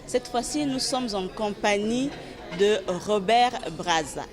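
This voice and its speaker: A woman interviewer with a mid-range voice delivers prepared questions. Her speech is formal and polished, in the steady manner of a reporter presenting a segment. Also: medium pitch, slow pace, a crowd in the background.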